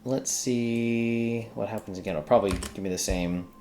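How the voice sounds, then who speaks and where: Very deep, gravelly man thinking aloud. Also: normal speed, mellow, slight background noise. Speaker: tutorial host, accountant who codes. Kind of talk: casual screen-recorded coding walkthrough, thinking aloud.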